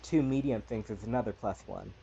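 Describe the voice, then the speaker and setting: A man's voice: fairly low pitch, brisk, vocal fry, dark tone, muffled recording, slight background noise. A man playing a tabletop game and chatting casually about the rules. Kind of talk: casual chat.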